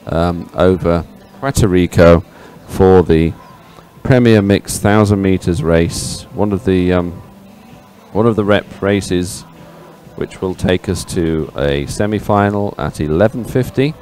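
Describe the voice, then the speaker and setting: Male race commentator, lower register, chatty and halting, live broadcast feel, faint music behind. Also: darkish tone. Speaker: sports commentator. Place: live broadcast at an outdoor regatta venue.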